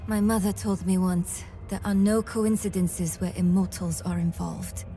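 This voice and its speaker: An actress playing an earnest, determined young scholar in a dramatic video game cutscene, thoughtful and intent. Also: female voice, on the low side, fairly even intonation, normal speed, dark tone, faint music behind, close to an everyday voice. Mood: urgent, troubled.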